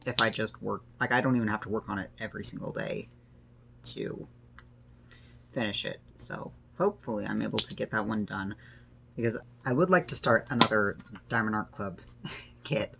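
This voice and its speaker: A man's voice: fairly low pitch, creaky, fairly bright tone, slight background noise. A man chatting casually and informally as he hosts a craft vlog, rambling a little and correcting himself as he goes. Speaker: host of a diamond painting vlog. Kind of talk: casual chat while working on a craft.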